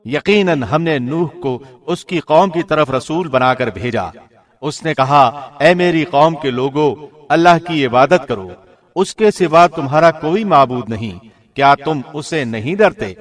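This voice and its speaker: A man reading a scripture translation in a formal, measured and solemn radio presenter's style. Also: fairly high pitch, animated. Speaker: translation reader, radio host.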